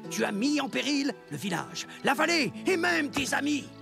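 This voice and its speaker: A man's voice: very high voice, big pitch swings, normal speed, creaky, full character voice. A man voicing a stern but fair prehistoric clan chief in a French children's cartoon, solemn and authoritative. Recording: loud music behind the voice.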